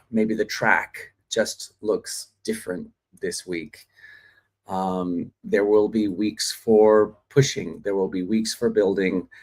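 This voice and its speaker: Chatty male livestream host from Australia. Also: fairly low pitch. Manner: chatty, reads viewer comments, frequent fillers. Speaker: host of a live acting stream. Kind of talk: casual livestream chat. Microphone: phone or desk mic, livestream.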